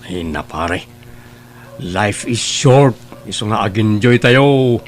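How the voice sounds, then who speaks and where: A man's voice: lowish voice, animated, takes it slowly, slight background noise. A man playing a carefree, flippant young womanizer in an Ilocano family audio drama. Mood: nonchalant. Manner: flippant, nonchalant. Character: carefree, cocky young womanizing playboy son. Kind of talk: Ilocano radio-style family drama acting.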